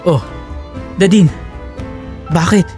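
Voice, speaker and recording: A woman puts on a mild husband for an audio drama, dropping well down in pitch. He sounds puzzled and unsuspecting as he asks his questions. Soft music runs underneath. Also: takes it slowly. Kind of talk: Filipino audio drama acting.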